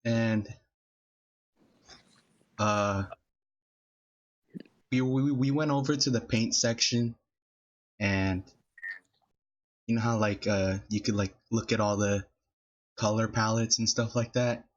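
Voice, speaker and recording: A man's voice: on the low side, steady tone, slow pace, pauses often. Rambling young man telling stories. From Filipino family, California. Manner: rambling, laughs through his stories, many fillers. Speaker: podcast host. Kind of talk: casual storytelling chat. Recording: dull lo-fi podcast audio.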